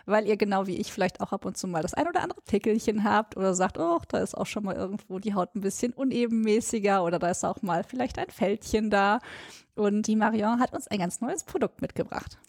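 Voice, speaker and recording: A woman hosts a podcast in an upbeat, chatty way, steering the talk with questions. Her voice sits at a middle pitch. The sound is close and dry. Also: a little mellow, no echo. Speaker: podcast host. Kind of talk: podcast conversation.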